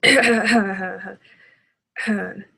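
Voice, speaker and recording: A woman's voice: medium pitch, fairly even intonation, drawn-out words, vocal fry, bright, forceful tone, husky. A woman giving casual reaction commentary in a hesitant, exasperated way. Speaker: reaction video host.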